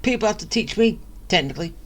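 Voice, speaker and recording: A woman's voice: mid-range voice, lively intonation, fast, noisy background. A woman giving casual, wry commentary while playing a video game, in an offhand way. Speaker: gamer commenting on play. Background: game music.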